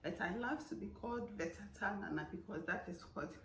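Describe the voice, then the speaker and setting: A Ghanaian woman talking casually to her vlog viewers, her voice hoarse from the dry harmattan air. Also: mid-range voice, fairly quick, fairly bright tone, a little hiss or hum. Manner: chatty, mixes in local sayings. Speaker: vlogger.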